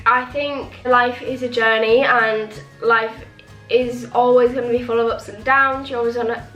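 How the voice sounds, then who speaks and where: A young woman vlogging to camera, a little high in pitch and sniffly with a cold. She rambles along chattily, full of "like". Her voice rings in an echoey room with faint music underneath. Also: a bit bright. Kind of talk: casual chat to camera.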